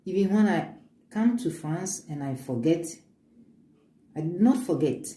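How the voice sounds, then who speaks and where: Woman vlogging her homemade stuff, low mellow voice, echoey room. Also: expressive, takes it slowly. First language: African language. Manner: earnest, upbeat, speaks of faith, many restarts. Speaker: vlogger showing homemade oils. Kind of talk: casual show-and-tell, switching between English and French. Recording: echoey room with faint ambient noise.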